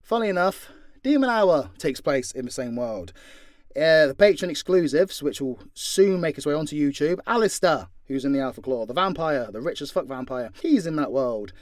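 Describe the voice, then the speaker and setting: A man's voice: on the high side, expressive, normal speed, no echo, studio quality. A British man answering fan questions in a casual, unscripted way, blunt and informal with plenty of swearing. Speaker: audio drama creator and voice actor answering fan questions.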